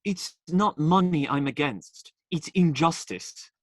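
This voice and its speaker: A woman's voice: low-pitched, slow, dry recording, clean studio sound. A woman playing a young male outlaw in a radio play, smitten, defiant and idealistic, earnest but faltering when challenged.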